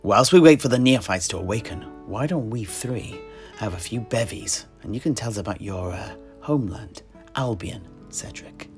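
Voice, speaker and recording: A man's voice: on the low side, animated, moderate pace. A man playing a courteous, scholarly tavern keeper and amateur historian who hosts a fantasy audio drama, speaking in polite, wordy phrases. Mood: hospitable. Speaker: host. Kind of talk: in-character fantasy audio roleplay. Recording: music behind the voice.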